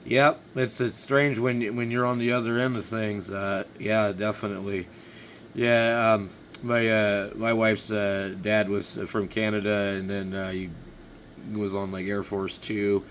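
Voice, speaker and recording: A man's voice: lowish voice, level tone, measured pace, fairly bright tone, slight background noise. A middle-aged man from Washington State chatting casually as a ham radio operator. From Washington State, United States.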